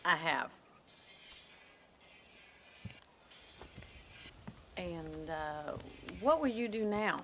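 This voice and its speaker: Bright, spirited young American ranch woman. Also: lowish voice, animated, a little hiss or hum, heavily acted. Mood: probing, dry. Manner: brisk, wry, plain-spoken. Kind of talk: video game cutscene acting.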